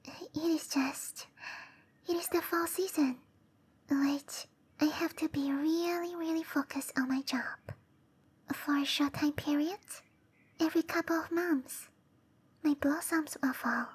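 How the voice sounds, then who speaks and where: A woman voicing a gentle, shy cherry blossom dryad in whispery roleplay ASMR, with soft breathy speech and a tender, hesitant manner. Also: sits high, steady tone. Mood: apologetic, flustered. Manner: slow, tender, hesitant delivery.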